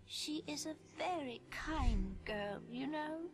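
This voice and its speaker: A woman voicing a gentle, wistful blind old grandmother in a video game, speaking softly and kindly in a measured way. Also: sits high, animated, crowd noise, exaggerated voice.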